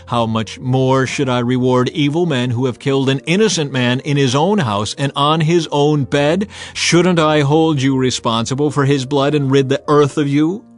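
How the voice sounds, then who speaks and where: A man voicing a stern, righteous warrior king of ancient Israel in a grave, forceful, declamatory way, in a scripture audiobook. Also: mid-range voice, normal speed, hardly any pauses, soft background music, natural voice. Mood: stern, indignant.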